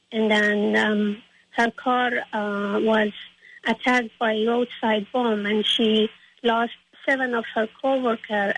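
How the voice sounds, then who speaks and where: A young woman with an Afghan accent, answering interview questions in a halting, earnest way. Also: fairly high pitch, a little hiss or hum, low-quality recording. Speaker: interview guest.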